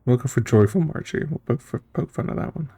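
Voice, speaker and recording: A man's voice: medium pitch, fast, crowd noise. A man streaming a story game, commenting casually on it as he plays, with game music behind him.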